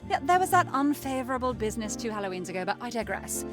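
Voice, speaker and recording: A woman's voice: a little high, very animated, quick pace. A woman voicing a bossy, theatrical young rich lady in a game, with an affected, haughty and effusive way of talking. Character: young rich woman, prancing, bossy, theatrical, fussy older-sister type. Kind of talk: visual novel game voice acting. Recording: music playing behind.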